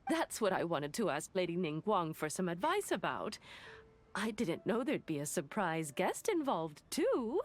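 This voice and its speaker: An actress playing an eager, inquisitive, gossipy female merchant in a video game, with an animated, polite and teasing manner. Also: lowish voice, a little slow, a little hiss or hum. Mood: friendly.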